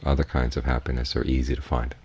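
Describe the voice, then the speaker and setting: Man, extremely low pitch, husky voice, meditation teacher, measured delivery, long pauses. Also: flat delivery, a little mellow. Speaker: Buddhist monk, meditation teacher. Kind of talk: guided meditation talk.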